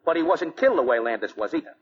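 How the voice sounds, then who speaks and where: A man's voice: fairly high pitch, sing-song, racing, slight background noise. A man acting a plain-spoken, obliging police detective, clipped and matter-of-fact, in 1940s radio style. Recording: dull lo-fi 1940 radio broadcast.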